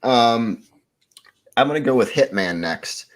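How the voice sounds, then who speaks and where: A man's voice: mid-range voice, lively intonation, unhurried. A man chatting casually as a contestant on a podcast. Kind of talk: casual chat.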